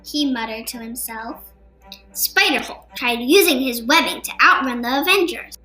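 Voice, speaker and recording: A woman's voice: high voice, lively intonation, normal speed, sharp tone, poor audio. A woman reading a scripted children's superhero-style story aloud as a narrator. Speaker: storybook reader for a podcast. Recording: music behind the voice. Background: background music.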